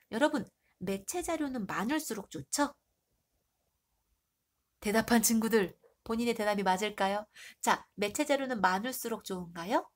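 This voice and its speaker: A woman teacher with a stuffy nose and a hoarse throat, reviewing for an exam and asking the class questions she then answers herself. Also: fairly high pitch. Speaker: middle school Korean teacher.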